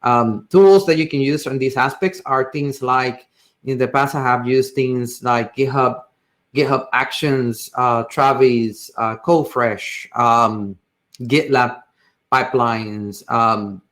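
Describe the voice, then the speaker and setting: A man with a Puerto Rican accent, a native Spanish speaker, gives an informal technical presentation in halting, hesitant phrases. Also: mid-range voice, fairly even intonation, fairly bright tone. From Puerto Rico. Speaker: tech presenter.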